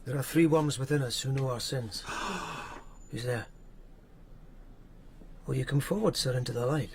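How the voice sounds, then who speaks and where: An actor playing a weary but dogged police lieutenant in a radio period mystery, speaking hesitantly and with earnest respect. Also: male voice, medium pitch, a little slow, noisy background, lightly acted. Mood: wary, startled.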